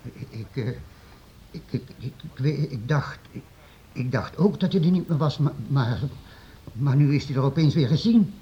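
An actor playing a cowed, servile manservant in a radio play, halting and stammering. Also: male voice, medium pitch, a little hiss or hum, close to an everyday voice. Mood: anxious. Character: cowed, frightened male servant, stammering and servile. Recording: muffled, dull old radio recording.

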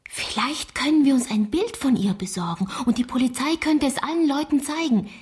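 A woman's voice: high-pitched, moderate pace, a little mellow, natural voice. Actress as a worried, insistent young girl. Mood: anxious, probing. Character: worried, insistent teenage girl, protective sister. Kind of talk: radio drama acting.

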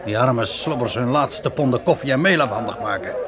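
A man's voice: mid-range voice, a little fast, crowd noise, close to an everyday voice. A man playing a seasoned, cynical frontier guide in a radio drama, grumbling in a sardonic, colourful way. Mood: disgusted.

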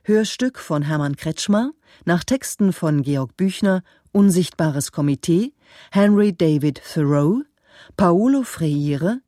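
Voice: medium pitch, warm tone, dry recording, clean studio sound. An announcer reading out programme credits as a scripted announcement.

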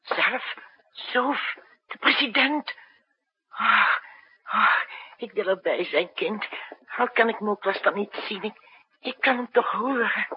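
An actress playing an emotional, tender old mother, halting and plaintive, in a 1960s radio drama. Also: mid-range voice, breathy edge. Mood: tearful, pleading. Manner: halting, repeating words, plaintive. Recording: dull, muffled 1960s radio recording.

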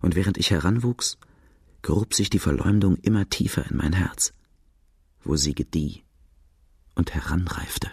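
A man's voice: low-pitched, measured pace, gravelly, long pauses, a little mellow, slightly rough, no echo, clean studio sound, full character voice. An actor playing a brooding outlaw narrating his boyhood in a German radio drama, grave and confessional.

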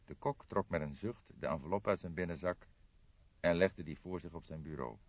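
A man's voice: low-pitched, normal speed, breathy edge, no echo. A man reading scripted audiobook narration of a detective story. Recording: dull, dry lo-fi recording.

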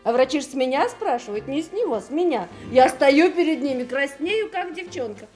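An actress playing a general's devoted, anxious wife in a Soviet radio play, with an expressive, emphatic manner. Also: female voice, high-pitched, fairly quick, faint music behind. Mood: fondly scolding.

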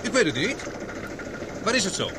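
A self-assured young ex-pilot in a radio play, terse and defiant. His voice is high for a man, set against a noisy boat engine. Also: big pitch swings, normal speed. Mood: curt, defiant. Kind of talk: Dutch radio drama acting. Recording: loud motorboat engine noise behind. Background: boat engine and water.

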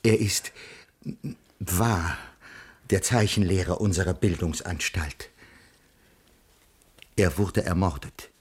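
Husky, pedantic middle-aged schoolmaster. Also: male voice, deep voice, slow pace, pauses often, heavily acted. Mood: formal, grave. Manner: formal, stilted, indignant diction. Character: pedantic, earnest middle-aged French ethics teacher. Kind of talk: 1980s German radio crime play acting.

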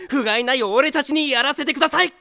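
A man playing a brash, intense, theatrically proud high school boy in an anime audio drama, grandiose and earnest. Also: fast talker, vocal fry, bright, forceful tone, full character voice.

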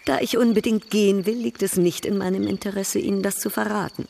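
An actress in a radio drama playing a proud baroness who speaks formally, with pointed, direct questions. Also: female voice, medium pitch, fairly even intonation, a little fast, gravelly, dark tone, a little hiss or hum, natural voice. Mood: cool, probing. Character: proud, probing baroness, coolly direct and teasing.

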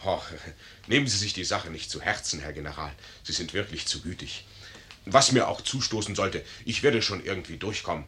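A sharp, brash army lieutenant. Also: male voice, low-pitched, a little fast. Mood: breezy, cheerful. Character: brash, carefree, boastful young army lieutenant. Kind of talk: 1950s German radio play acting.

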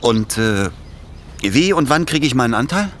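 A man's voice: mid-range voice, sing-song, normal speed, a bit bright, a little hiss or hum. An actor playing an uneasy, easily impressed male accomplice in a German radio drama, with a hesitant manner.

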